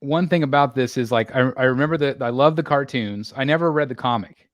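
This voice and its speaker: A man in his forties hosts a laid-back panel chat, pausing often with ums and restarting his sentences. His voice sits at an ordinary middle pitch. The sound is dry and close, with no room echo. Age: 40s. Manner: relaxed, many filler ums and restarts. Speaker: host.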